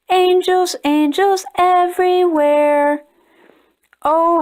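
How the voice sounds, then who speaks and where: High, lively woman reading a storybook. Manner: expressive, sing-song storytelling. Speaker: storytime host. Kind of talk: children's book read-aloud.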